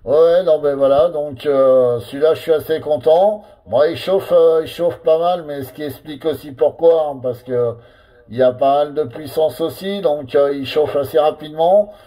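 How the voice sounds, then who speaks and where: A man operating a CB radio, chatting casually with lots of pauses and filler words. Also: fairly high pitch, warm tone. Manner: hesitant, full of fillers and tags.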